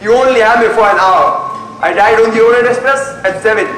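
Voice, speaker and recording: A young man playing a chatty, good-humoured gentleman in an amateur stage play, with theatrical phrasing. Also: high voice, a little fast, background noise, lightly acted. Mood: jovial. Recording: echoey hall, faint music underneath.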